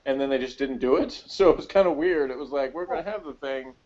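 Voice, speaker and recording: A man's voice: mid-range voice, quick pace, darkish tone, highs cut off. A man chatting casually as co-host of a sci-fi TV review, rambling and searching aloud for details. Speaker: podcast co-host.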